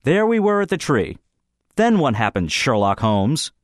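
A man's voice: medium pitch, huge pitch swings, takes it slowly, a little mellow, no echo, clean studio sound, voice pushed up. Man doing a tense schoolboy joking under pressure. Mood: nervous joking. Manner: strained, offhand, overloud bluster. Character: tense teenage boy forcing jokes under pressure.

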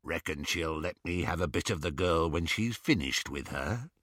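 A man acts out a storybook werewolf, crude and predatory. The voice is a raspy growl, slow and snarling, with menace under every word. It sounds close and dry. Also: on the low side, animated, sharp tone, no echo, exaggerated voice. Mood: gloating menace.

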